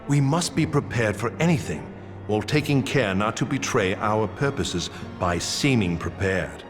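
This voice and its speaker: An actor playing a proud, gracious old male knight captain in a game cutscene, speaking in a formal, measured way. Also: lowish voice, animated, music in the background, natural voice. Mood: earnest. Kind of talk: video game cutscene voice acting.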